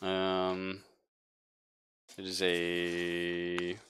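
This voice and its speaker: A man's voice: low voice, completely flat delivery, extremely slow, a bit bright, slight background noise. A man running a tabletop game as game master, chatting casually with the players.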